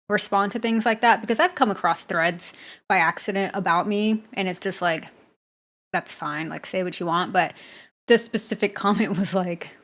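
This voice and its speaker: A woman from the Bay Area in California hosts a reaction video, chatting casually, laughing often and talking back to the clip. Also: medium pitch. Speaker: reaction channel host.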